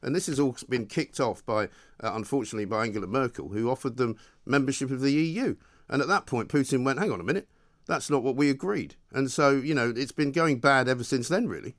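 A man's voice: medium pitch, quick pace. A British man hosting a combative political interview, argumentative and opinionated as he challenges his guest. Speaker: radio talk show host interviewing a politician.

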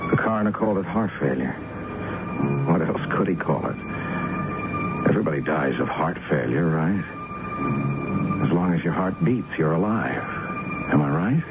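An actor playing a lonely, rambling man in a 1970s radio drama monologue, confiding in a wry, conversational way. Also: low-pitched. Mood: wry, bitter. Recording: dull old radio broadcast with loud music.

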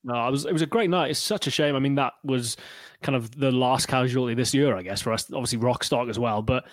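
A man's voice: medium pitch, quick pace, no room sound, clean studio sound. A Welsh man talking casually as a podcast guest, rambling with lots of fillers and restarts. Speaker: guitarist and YouTuber, guest.

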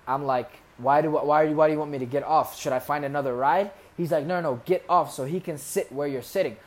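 A young American man, a podcast guest, casually telling animated stories with jokes and laughs. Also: on the high side, brisk. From United States. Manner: animated, joking, laughs through his stories. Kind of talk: casual conversational storytelling.

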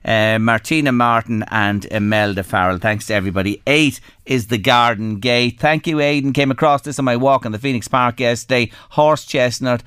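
A man's voice: mid-range voice, moderate pace, hardly any pauses, a bit bright, smooth, no room sound, clean studio sound. An Irish man presenting an afternoon radio show, chatting loosely and repeating himself as he rambles on. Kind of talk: casual radio chat. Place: radio studio.

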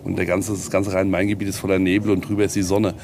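Man, lowish pitch, casual interviewee, reflective, hesitant phrasing. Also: level tone, fast, background noise. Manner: hesitant, reflective, searching for words.

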